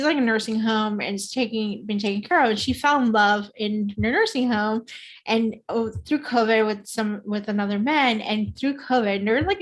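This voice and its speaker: A woman in her forties hosting an interview, enthusiastic and casual, with many fillers and laughs. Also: a little high. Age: 40s. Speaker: podcast host.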